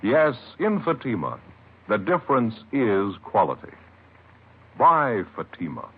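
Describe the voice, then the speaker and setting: Male American ad announcer, very lively intonation, husky, emphatic, vintage radio, muffled sound. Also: fairly low pitch, unhurried. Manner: emphatic salesman delivery. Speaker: commercial announcer. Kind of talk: 1950s radio cigarette advertisement.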